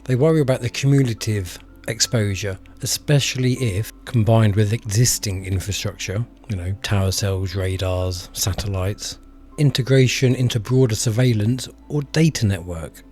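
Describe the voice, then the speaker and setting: Low-voiced man presenting a measured explainer. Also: darkish tone, soft background music. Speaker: video presenter and commentator. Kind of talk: scripted explainer narration with direct address to viewers.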